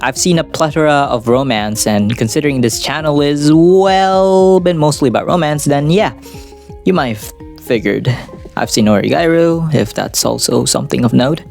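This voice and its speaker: A man's voice: fairly high pitch, normal speed, vocal fry, darkish tone. A man giving casual, semi-scripted anime commentary in a rambling, self-deprecating, crudely joking way. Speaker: anime video essayist. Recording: music behind the voice. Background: background music.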